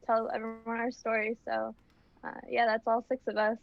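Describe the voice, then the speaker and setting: A woman's voice: fairly high pitch, monotone, normal speed, creaky, lo-fi sound. A twenty-year-old woman from New York, a design student, enthusiastic about the panel. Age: 20s.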